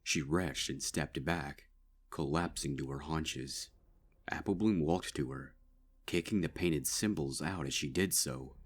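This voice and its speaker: A man giving grave, measured narration of a dark fan story. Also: rumbling low. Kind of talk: dark fanfic audiobook narration.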